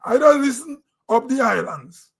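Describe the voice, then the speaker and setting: A man's voice: extremely high pitch, animated, measured pace. A middle-aged Grenadian man with a Grenadian accent, giving a rambling, conversational opinion monologue on his own online show. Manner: rambling, conversational, with throat-clearing and restarts.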